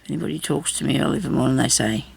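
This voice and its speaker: Deep raspy woman, gravelly. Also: racing, darkish tone. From Australia. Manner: chatty, self-deprecating, laughs often. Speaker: craft vlogger. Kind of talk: rambling casual chat while crafting.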